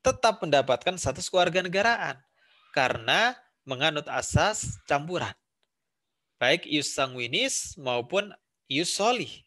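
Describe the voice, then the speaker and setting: A man's voice: a little high, lively intonation, unhurried, pauses often, sharp tone. A man lecturing on civics with an Indonesian accent, explaining step by step with examples and repeating key points. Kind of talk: recorded university lecture.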